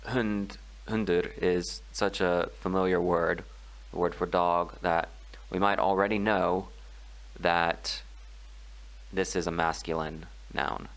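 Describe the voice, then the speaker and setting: Male tutor, low voice, unhurried and hesitant delivery, talking through a grammar lesson. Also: steady tone, vocal fry. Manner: slow, measured explaining with frequent ums and pauses. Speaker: language tutor. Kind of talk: screen-recorded grammar tutorial.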